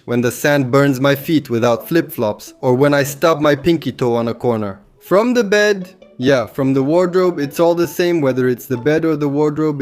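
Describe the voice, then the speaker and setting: A hoarse man hosting a comedy show and reading viewer comments with quick, jokey asides. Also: fairly high pitch.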